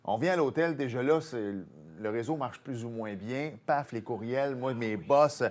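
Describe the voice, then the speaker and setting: A man with a Québécois French accent hosting a casual studio chat, telling stories with animated loud bursts. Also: mid-range voice, moderate pace. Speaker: host.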